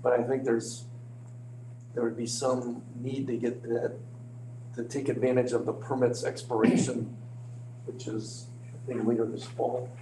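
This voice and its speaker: A man's voice: medium pitch, little pitch movement, dark tone. Hesitant man with slightly crackly voice, echoey room. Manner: hesitant, halting, hedging. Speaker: village official presenting to a board. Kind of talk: informal meeting briefing. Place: indoor village board meeting room. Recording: echoey meeting room, clear hum.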